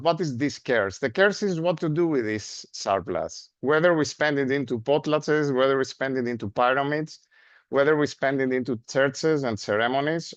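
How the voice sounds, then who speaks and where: A man with a Greek accent giving an academic seminar lecture. He speaks in a measured way, with frequent "uh" fillers and a rhetorical "no?" at the end of points. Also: mid-range voice, no room sound. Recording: dry, dull lo-fi sound.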